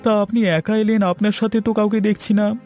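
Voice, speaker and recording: A man's voice: high-pitched, little pitch movement, fairly quick, darkish tone, soft background music, close to an everyday voice. A man voicing a timid, deferential and helpful village man in a cartoon, with hesitant, stammering speech. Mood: polite, nervous. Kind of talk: cartoon voice acting.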